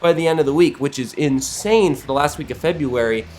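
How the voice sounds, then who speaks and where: A man's voice: a little high, brisk, a little mellow. An American man hosting a gaming series, giving casual, rambling, conversational commentary while a bit sniffly from getting over the flu. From Ohio, United States. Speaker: gaming YouTuber, series host.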